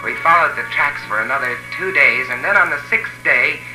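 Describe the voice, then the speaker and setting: A man acting as a haunted adventurer who narrates a deadly jungle ordeal in dramatic, deliberate 1950s radio drama style. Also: medium pitch, lightly acted. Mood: tense, measured. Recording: old echoey radio recording with music.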